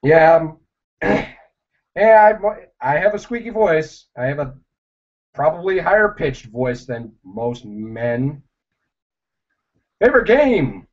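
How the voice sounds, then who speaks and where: A young man chatting casually on a livestream, answering viewers in a rambling, halting way with many fillers. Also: a little high, very animated. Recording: dull lo-fi livestream audio.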